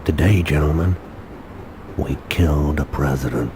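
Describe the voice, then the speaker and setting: Very deep, menacing crime boss. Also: male voice. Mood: commanding. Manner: measured, authoritative. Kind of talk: video game voice acting. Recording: game cutscene with noisy ambience.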